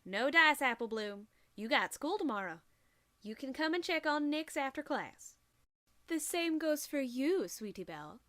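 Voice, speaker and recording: Woman playing a country farm pony, no-nonsense, kindly, high voice, lilting pitch, cartoon audio drama. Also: big pitch swings, measured pace, a bit bright, heavily acted. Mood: folksy firmness. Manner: folksy, plain-spoken.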